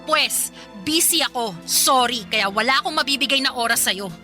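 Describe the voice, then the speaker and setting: A woman's voice: high voice, moderate pace, over music, close to an everyday voice. An actress playing an irritable, work-obsessed young Filipina career woman in a radio drama, snappy, sarcastic and scolding. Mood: irritated, sarcastic.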